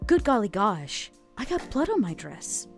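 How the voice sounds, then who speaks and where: A woman's voice: mid-range voice, sing-song, unhurried, vocal fry, pitched above the performer's own voice. A woman plays a prim and deadly 1950s vampire lady for a tabletop game. She is dainty, mock-innocent and coolly unbothered, with faint music underneath. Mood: mock dismay.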